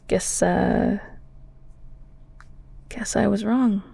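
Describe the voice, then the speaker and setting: A woman acting in an intimate audio roleplay as a bashful, kindhearted, bungling young ghoul cook, flustered and stammering, with a breathy voice that often drops toward a whisper. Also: medium pitch, mellow, no room sound, exaggerated voice. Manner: flustered, stammering, giggly, rambling.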